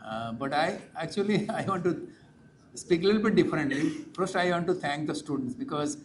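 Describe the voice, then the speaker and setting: A man's voice: on the high side, expressive, a little fast, a little hiss or hum. A man opening a conference address, friendly and speaking directly to the audience in a large hall. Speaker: chief guest speaker. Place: large auditorium.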